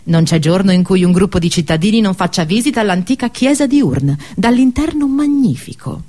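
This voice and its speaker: A woman narrating a scripted literary travel tale for radio theatre, with measured, deliberate phrasing. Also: medium pitch, expressive, dark tone.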